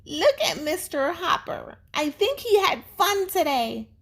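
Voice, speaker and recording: Woman acting as a storybook schoolgirl, high-pitched, shrill, squeamish, excitable, exclamatory. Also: measured pace, full character voice. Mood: delighted.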